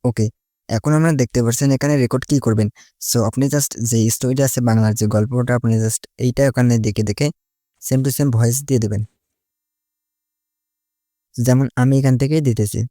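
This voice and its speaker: Quick-talking man, mellow voice. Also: mid-range voice, steady tone, dry recording, clean studio sound. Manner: repetitive connective phrases, mixes English words into Bengali. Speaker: tutorial host. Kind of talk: casual step-by-step screen tutorial.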